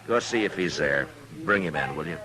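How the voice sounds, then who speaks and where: An actor playing a weary but firm frontier marshal with an American accent and dry, understated authority in a 1950s radio Western. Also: male voice, rumbling low, lively intonation, a little fast, crowd noise, rough recording. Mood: dry, relaxed. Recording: old radio drama with music.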